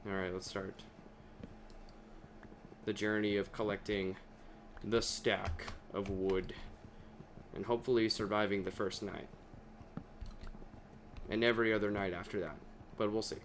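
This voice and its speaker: Chatty man with a deepened voice. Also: steady tone, unhurried, long pauses, slight background noise. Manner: chatty, talks to himself while playing. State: voice deeper than his usual. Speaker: gaming video creator. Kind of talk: casual gameplay commentary.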